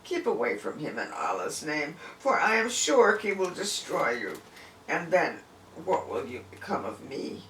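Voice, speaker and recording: Man reading as an anxious village wife, swooping pitch. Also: big pitch swings, measured pace, a bit bright. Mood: pleading. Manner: emphatic, exclaiming. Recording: distant, echoey room with faint noise.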